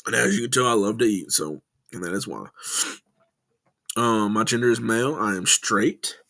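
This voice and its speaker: A man's voice: on the low side, normal speed, creaky, fairly bright tone, dry recording. A young man from West Tennessee with a Southern twang, rambling informally through a class project with plenty of "um"s and restarts. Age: young adult. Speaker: student presenting a class project. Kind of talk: casual recorded class presentation.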